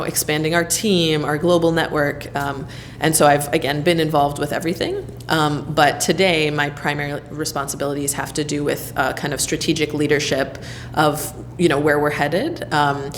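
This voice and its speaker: An American woman answering interview questions in a thoughtful, conversational way, often pausing with ums and you-knows as she speaks as a nonprofit leader. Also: deep voice, a little hiss or hum. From United States.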